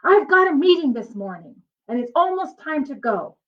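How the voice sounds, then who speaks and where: A woman voicing a hurried mother in a storybook, scolding her young children with sharp exclamations. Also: high-pitched, sing-song, lo-fi sound, pitched above the performer's own voice. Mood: harried scolding.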